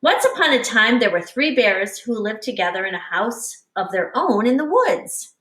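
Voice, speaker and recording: A woman teacher reading a picture book aloud to young children in an expressive, instructive way, pausing to explain and addressing them directly. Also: on the high side. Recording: roomy, echoing, distant microphone.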